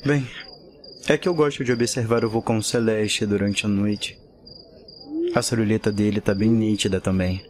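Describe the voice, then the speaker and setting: An actor playing a polite, earnest young hero apprentice in a fantasy audio drama. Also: male voice, on the low side, fairly even intonation, moderate pace, long pauses, a little mellow, a little hiss or hum, lightly acted. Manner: polite, deferential. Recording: night ambience with crickets behind. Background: crickets, night insects.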